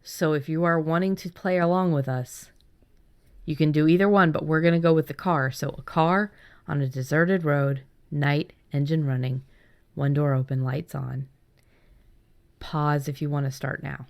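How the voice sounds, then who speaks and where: A woman's voice: low-pitched, fairly even intonation, normal speed. A woman hosting a casual podcast about writing fiction, chatting in an enthusiastic way. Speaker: podcast host.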